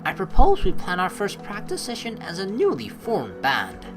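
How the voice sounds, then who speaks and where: A woman hosting a let's play, informal and animated, mixing game commentary with reading dialogue aloud. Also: medium pitch, normal speed, over music.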